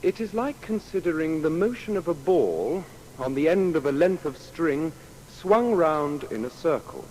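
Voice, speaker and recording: Reverberant, expressive natural philosopher. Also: male voice, a little high, measured pace. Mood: earnest. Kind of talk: dramatised scientific quotation. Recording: long hall reverb, faint noise.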